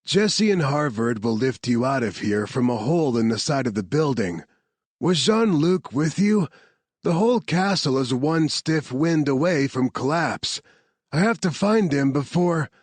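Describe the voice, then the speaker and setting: A man's voice: on the high side, lively intonation, a little slow, dry recording, pitched above the performer's own voice. A man voicing a tough male rescue team leader who gives blunt orders and short reassurances. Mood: commanding. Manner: blunt, commanding.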